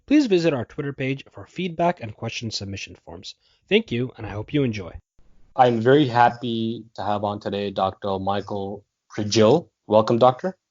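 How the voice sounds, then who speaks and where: A man hosts a podcast with a somewhat low voice, polite and earnest as he introduces the show and his guest. The recording is dull and dry, with no room sound. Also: animated, normal speed, a little mellow, dry recording. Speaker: podcast host. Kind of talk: scripted intro then interview questions.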